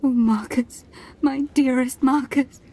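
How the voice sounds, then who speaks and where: A woman's voice: high voice, steady tone, slow pace, dark tone, heavily acted. A woman voicing a distraught, devoted noble wife in a video game, wailing melodramatically. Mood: anguished.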